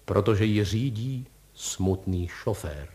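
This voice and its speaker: A man playing a hollow-voiced, funereal gentleman. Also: on the low side, lively intonation, voice pushed down, lightly acted. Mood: mournful. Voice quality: hollow, sepulchral. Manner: slow, mournful, formal.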